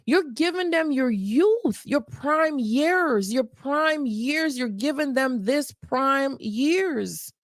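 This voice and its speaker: A woman's voice: high-pitched, lively intonation, slow, hardly any pauses, no room sound, clean studio sound. A woman life coach giving advice on a livestream, emphatic and repeating points for stress.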